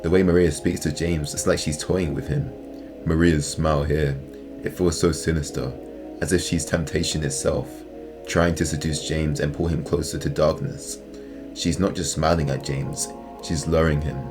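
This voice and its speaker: A man's voice: rumbling low, flat delivery, normal speed. A man reading scripted, analytical narration as the host of a video essay. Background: music.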